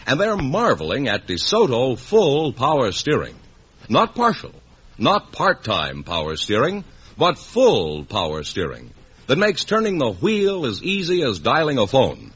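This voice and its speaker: A man's voice: medium pitch, huge pitch swings, measured pace, fairly bright tone, husky. An American man working as announcer and sidekick on a 1950s radio quiz show. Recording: muffled old radio broadcast.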